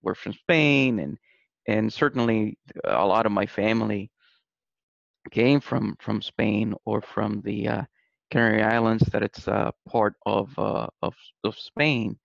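A man's voice: lowish voice, fairly even intonation, a little slow, vocal fry, no echo. A man from Rico, a podcast guest talking hesitantly with many fillers and repeated words. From Puerto Rico. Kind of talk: casual conversation. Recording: dull, dry lo-fi sound.

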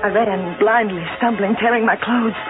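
An actress playing a haunted, anguished wife, halting and dramatic in old radio style. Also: female voice, medium pitch, fairly even intonation, close to an everyday voice. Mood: haunted dread. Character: haunted, frightened wife turning into a vampire. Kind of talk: 1940s radio acting, first-person narration. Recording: tinny old radio recording, loud music.

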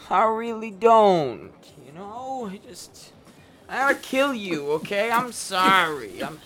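A man's voice: high voice, sing-song, slow pace, sharp tone, full character voice. A man playing a nervous, apologetic killer in a darkly comic radio play, stammering and rambling with plenty of swearing. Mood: desperate. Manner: stammering, hesitant, rambling, foul-mouthed.

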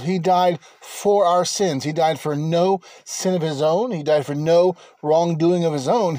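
A man hosting a Bible teaching podcast from inside his car, talking in a halting way with many fillers and restarts. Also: sits high, mellow, no echo. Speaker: podcast host and preacher.

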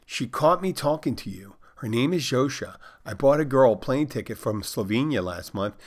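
A man's voice: medium pitch, animated, normal speed, close to an everyday voice. A man voicing a naive fourteen-year-old Polish expat boy, reading out stilted, overly formal text messages. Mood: earnest deadpan.

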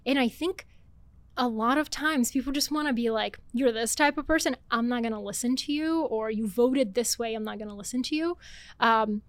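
Lively, higher-voiced woman guest. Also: no echo. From Bulgaria. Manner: animated, fast-talking, laughs often. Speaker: guest author. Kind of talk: casual podcast conversation.

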